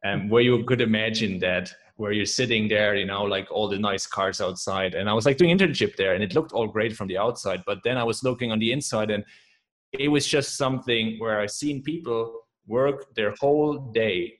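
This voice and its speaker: Man, German accent, young adult, lowish pitch, enthusiastic, casual podcast guest. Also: normal speed. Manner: upbeat, enthusiastic, repeats "man" and "right?". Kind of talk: casual interview chat.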